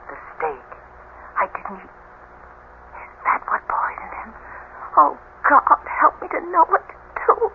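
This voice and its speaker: An actress playing an anxious, devoted young bride with theatrical, halting delivery in a radio drama. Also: female voice, sits high, wildly swooping pitch, slight background noise, heavily acted. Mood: fearful, desperate. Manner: theatrical, breathy exclamations and halting pleas. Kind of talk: 1970s radio drama acting. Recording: tinny band-limited old radio, music behind.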